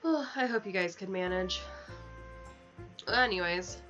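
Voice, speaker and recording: A woman's voice: medium pitch, measured pace, a bit bright, faint music behind. A woman livestreaming a video game, chatting informally and in a rambling, affectionate way into a headset mic. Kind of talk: casual livestream chat over gameplay. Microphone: sensitive headset mic. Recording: dull, lo-fi headset audio.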